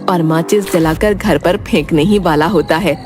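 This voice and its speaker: A woman's voice: on the low side, moderate pace. A woman giving scripted narration for a cartoon village tale. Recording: music behind the voice.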